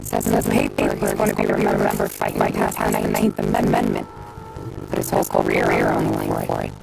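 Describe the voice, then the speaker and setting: A weary confidant in a gangster game. His voice is extremely low and full of gravel, chiding yet very flat, with music behind. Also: a little fast, full character voice, rough recording. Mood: reflective, chiding. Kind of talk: video game cutscene acting.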